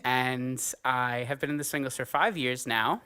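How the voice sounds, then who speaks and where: Bright-voiced New York man. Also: medium pitch, fairly even intonation, normal speed, clear, resonant tone. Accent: New York American. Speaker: a cappella singer leading a workshop. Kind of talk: casual workshop introduction.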